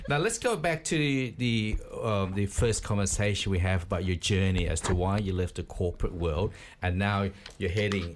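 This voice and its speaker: Radio host, male, very low and lively voice, jokey and teasing in casual studio chat. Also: a little hiss or hum. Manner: playful, teasing banter.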